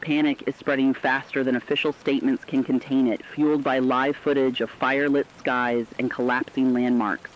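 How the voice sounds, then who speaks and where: A man's voice: mid-range voice, little pitch movement, moderate pace, hardly any pauses, natural voice. A man playing a serious radio correspondent, giving an urgent, dramatic scripted report on a disaster. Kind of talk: scripted breaking news report. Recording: dull lo-fi radio sound.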